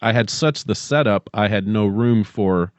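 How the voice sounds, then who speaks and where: A man's voice: on the low side, moderate pace, dry recording. A middle-aged man speaking as a podcast co-host and storyteller, close to the microphone on slightly dull audio. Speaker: podcast co-host and amateur short story writer. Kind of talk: dramatic story reading and casual podcast chat.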